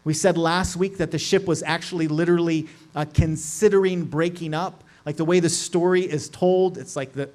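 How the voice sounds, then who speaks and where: A middle-aged man preaching in a loose, chatty way, checking in with his listeners as he goes. His voice sits a little higher than most men's. He explains things as if talking with friends rather than reading out a text. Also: steady tone, normal speed, few pauses, darkish tone. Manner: casual, lots of "like" and "right?" asides. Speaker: pastor. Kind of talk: conversational sermon.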